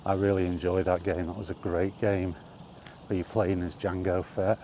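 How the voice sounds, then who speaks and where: A man hosting a casual, rambling chat, thinking aloud with hesitant pauses. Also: low-pitched, little pitch movement, warm tone. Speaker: video host reminiscing about games. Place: on the move, walkie talkie vlog. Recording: faint ambient background noise.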